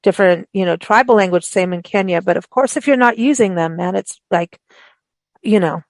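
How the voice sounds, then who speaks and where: A woman radio host raised in Africa chats with her guest in a loose, animated way, often starting over mid-sentence. Her voice sits at an everyday middle pitch. The recording is close and dry, with no room sound at all. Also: fairly quick, no echo. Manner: chatty and animated, with frequent laughs and restarts. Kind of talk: casual interview chat.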